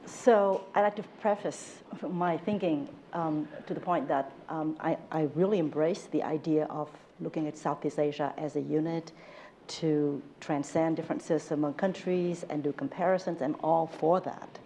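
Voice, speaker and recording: A woman's voice: deep voice, steady tone, moderate pace, warm tone, slight background noise. A Vietnamese American woman whose first language is Vietnamese, speaking on an academic panel in an earnest, emphatic way. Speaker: panelist, political economist.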